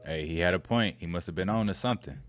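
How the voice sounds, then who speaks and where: A man's voice: low voice, fast, over loud music. A man hosting a reaction video, talking casually in a slangy, easygoing way as he comments on clips. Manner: laid-back, conversational, slangy, muses aloud.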